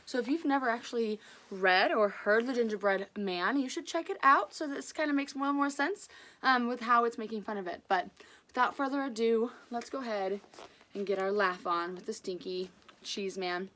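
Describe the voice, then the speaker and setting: A woman reading aloud as a storyteller, casual and chatty, with an enthusiastic, expressive delivery. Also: a little high, moderate pace.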